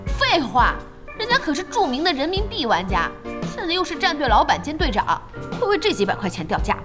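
Feisty young lady boss, high, animated, blunt scolding. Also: fairly quick. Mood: exasperated. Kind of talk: Chinese audio drama acting. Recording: music behind the voice.

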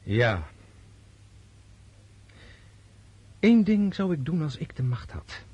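An actor in a Dutch radio drama playing a disillusioned, resolute Russian officer prince, speaking in a deliberate, scornful way. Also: male voice, a little high, darkish tone, breathy edge, no room sound, dull audio. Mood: fierce, resolute.

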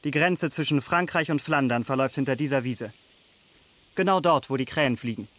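A man's voice: mid-range voice, fairly quick, a bit bright, lightly acted. An actor playing a restrained, dutiful policeman in a radio drama. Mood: firm. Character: restrained, dutiful policeman who posed as abbé. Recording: faint background hiss.

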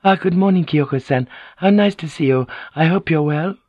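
A man's voice: medium pitch, a little fast, darkish tone, no room sound, highs cut off, voice pushed up, natural voice. A man voicing a polite, guarded noblewoman in audiobook dialogue, formal and controlled under her anxiety.

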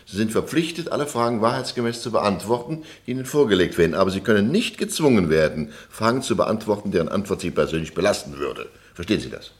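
Authoritative low-voiced judge. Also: male voice, lively intonation. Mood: solemn, instructing. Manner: formal, measured, instructive. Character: authoritative, orderly male English judge. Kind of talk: German radio play courtroom acting.

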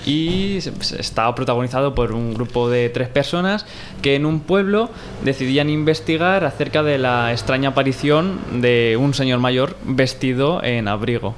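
A man's voice: mid-range voice, normal speed, slight background noise. A man in a casual discussion, explaining in a hesitant, carefully worded way. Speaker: radio guest, course student.

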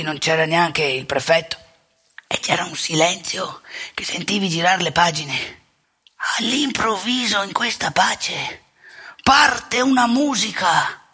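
A woman playing a candid, indignant elderly male priest in a theatrical monologue, with a rough voice and colloquial, emphatic storytelling. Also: on the low side, sharp tone, heavily acted. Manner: colloquial, confiding, emphatic, with dramatic pauses. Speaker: stage actor and monologuist.

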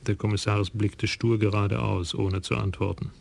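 A man giving measured, scripted narration for a radio crime play. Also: on the low side, level tone, gravelly.

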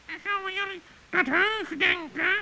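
A performer playing a grumpy but loyal male talking bird in a children's radio play, with a sharp, squawky voice and indignant delivery. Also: extremely high pitch, unhurried, vocal fry, full character voice.

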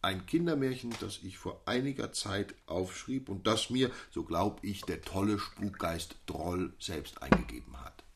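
An actor playing a whimsical, playful storyteller in a radio drama, addressing a circle of friends. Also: male voice, sits low, expressive, measured pace, vocal fry, hardly any pauses, noisy background, heavily acted. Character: whimsical male literary storyteller among friends. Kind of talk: German radio drama acting.

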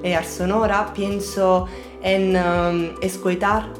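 A woman speaking with an Italian accent, since Italian is her first language. Her voice sits a little low, and she answers interview questions in a thoughtful, searching way with frequent pauses. Soft music runs faintly underneath. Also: level tone. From Naples, Italy. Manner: thoughtful, pausing to search for words. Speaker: radio artist and journalist.

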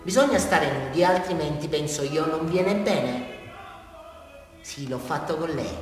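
An actor playing a disturbed, childlike male criminal in a radio drama, rambling and halting through his confession in a southern Italian accent, swinging between hushed and loud. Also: fairly high pitch, animated, soft background music. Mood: rambling, insistent. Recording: distant, very echoey reverberant hall.

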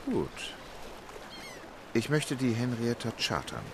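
An actor playing a calm, determined English gentleman traveller in a radio play, speaking in a composed, matter-of-fact way. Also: mid-range voice, slow, creaky, background noise, exaggerated voice.

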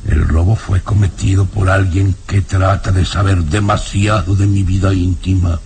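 An actor playing an irascible old baron in a vintage radio serial, speaking formally and emphatically with indignant menace. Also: male voice, deep voice, fairly even intonation, normal speed, darkish tone. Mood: angry, imperious. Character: irascible, imperious old baron, nervous castle lord. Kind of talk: vintage Spanish-language radio serial acting.

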